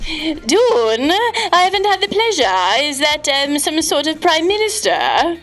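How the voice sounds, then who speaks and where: A woman's voice: sits high, sing-song, takes it slowly, fairly bright tone, soft background music. A woman on a phone call, answering hesitantly in a mock-formal way and playing along. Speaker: prank call recipient.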